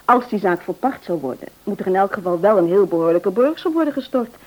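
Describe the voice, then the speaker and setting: A woman's voice: medium pitch, lively intonation, brisk, close to an everyday voice, rough recording. A woman playing a dutiful, eager grown-up daughter in a radio play, chatty and polite with some hesitancy. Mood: earnest, hesitant. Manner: chatty, polite, hesitant when asking favours. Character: Annie, dutiful, eager married adult daughter.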